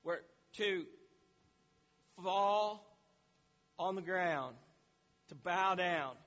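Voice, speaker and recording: Guy preaching in a big echoey hall, kinda muffled, high voice, keeps restarting words. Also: very slow, a bit bright. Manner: explanatory, frequent repeated words and restarts. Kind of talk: sermon teaching.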